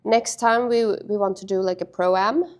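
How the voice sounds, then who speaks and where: A young adult woman who grew up in Sweden, speaking as an interview guest in halting sentences full of fillers and restarts. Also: mid-range voice, steady tone. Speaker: interview guest, padel player. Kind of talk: casual interview.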